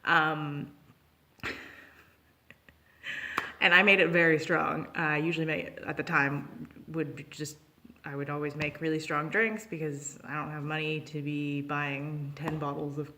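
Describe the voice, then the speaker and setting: A woman's voice: low voice, unhurried, slight background noise. Young Canadian woman telling a casual story. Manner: animated when acting out dialogue, informal. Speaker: storytelling vlogger.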